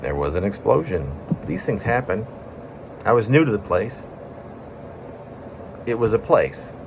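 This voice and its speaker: A man with a fairly low voice reads a poem as if telling a story. His pitch moves in wide, lively swings across short phrases broken by pauses. Some background room noise sits under the recording. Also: vocal fry. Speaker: poet reading own poem. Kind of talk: spoken-word poetry reading, conversational storytelling.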